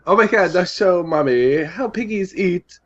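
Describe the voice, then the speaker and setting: A man's voice: sits high, lively intonation, measured pace, a bit bright, dull audio, full character voice. A man voicing a doting, dramatic mother in a gushing manner for a comedic amateur table read. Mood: cooing. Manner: gushing, starts lines with "Oh my God".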